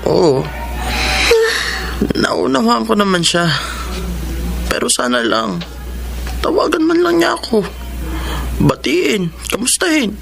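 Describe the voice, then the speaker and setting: A man's voice: extremely high pitch, very animated, slow, vocal fry, pauses often, a bit bright, faint music behind, exaggerated voice. An actor playing a self-conscious, loving teenage boy in a radio drama, earnest and plaintive. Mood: sad, wistful. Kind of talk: Filipino radio drama acting.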